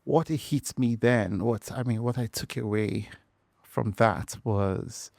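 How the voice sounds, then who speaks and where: A man's voice: medium pitch, takes it slowly, gravelly, a little mellow, dry recording, studio quality. A man with a Nigerian accent, a podcast guest and engineer, speaking thoughtfully with hesitations and fillers. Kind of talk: conversational interview.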